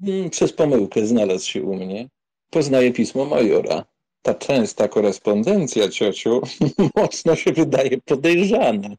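A man's voice: medium pitch, sing-song, unhurried, warm tone, slightly rough. A man playing a flippant, teasing young aristocratic count in an audiobook drama, mocking and amused. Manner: mocking, amused, mock-solemn.

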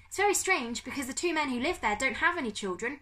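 Woman playing an earnest, high-voiced polite schoolboy. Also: animated, fast talker, pushed and bright. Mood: polite urgency. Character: clever schoolboy making polite, feigned-alarm phone calls.

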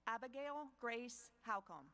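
A woman with a slightly high voice reads out graduates' names at an outdoor ceremony. She speaks slowly and formally into a podium microphone, pausing after each name. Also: expressive, fairly bright tone, a little hiss or hum. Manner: slow, measured, pausing between names. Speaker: dean reading graduate names.

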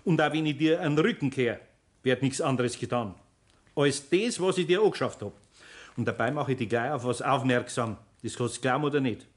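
Actor as patient Bavarian master carpenter. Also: male voice, mid-range voice, natural voice. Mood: stern, firm. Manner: homely, deliberate, instructing. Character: patient but firm older master carpenter. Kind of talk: 1960s German radio play acting.

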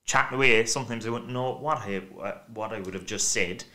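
A man's voice: on the low side, fairly quick. Chatty man with a thick Cork accent. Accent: Cork Irish. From Ireland. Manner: chatty, animated storytelling with many restarts. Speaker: guest expert. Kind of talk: casual podcast chat. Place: small bright podcast studio, indoors. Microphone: desk mic on stand.

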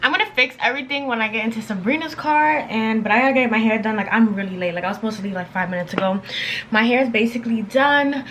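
High-voiced young woman chatting, noisy room. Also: quick pace. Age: young adult, around twenties. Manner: slangy, rambling, filler-heavy with frequent "like". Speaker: beauty and lifestyle vlogger. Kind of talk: casual chatty get-ready-with-me vlog. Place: bedroom at home, indoors.

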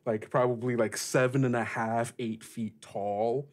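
A man's voice: medium pitch, fairly even intonation, unhurried. Guy running a tabletop podcast, takes his time, describes stuff in a chatty way. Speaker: game master and host. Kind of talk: casual podcast talk and tabletop narration.

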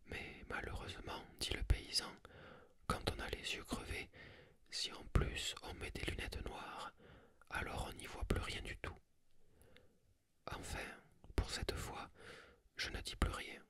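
A reader whispering a literary story in a measured, deliberate way, in an ASMR style. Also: fairly bright tone.

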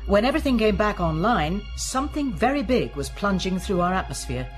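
A woman's voice: mid-range voice, big pitch swings, brisk, natural voice. An actress playing a grave woman giving a military briefing in a radio drama. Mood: grave, urgent. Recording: music behind the voice.

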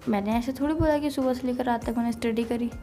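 Young female, Indian accent, highish pitch, casual couple's phone chat, teasing and defensive, faint music. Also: fairly even intonation, fast talker, warm tone. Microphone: phone call.

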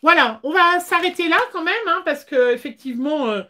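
A woman hosts a casual craft livestream from a small room. Her voice is high. She chats along with hesitant pauses, speaking into a device at arm's length. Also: pushed and bright.

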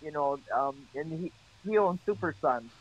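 A woman's voice: low voice, animated, moderate pace, muffled recording. A woman with a Midwestern American accent, a horse breeder guest giving brief, agreeable replies over the phone. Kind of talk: casual phone interview. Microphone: phone line.

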